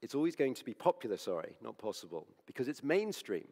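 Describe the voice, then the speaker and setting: A man preaching with a hoarse, croaky voice. He sounds earnest and conversational, often correcting himself mid-sentence. His voice carries a long echo, as in a church hall. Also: medium pitch, big pitch swings, fast, darkish tone. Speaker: preacher on the church leadership team. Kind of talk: sermon.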